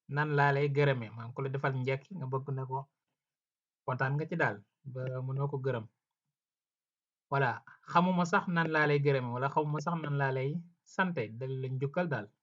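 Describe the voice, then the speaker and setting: A man teaching language, repeating each phrase slowly and several times, then explaining it more quickly. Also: a little high, fairly bright tone. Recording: dull lo-fi recording.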